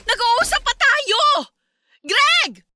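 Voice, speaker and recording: A woman's voice: extremely high pitch, lively intonation, drawn-out words, pushed and bright, faint music behind, heavily acted. A woman with a Filipino accent acting a hurt young wife who pleads emotionally with her husband in a radio drama. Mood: upset, pleading. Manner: emotional, crying out.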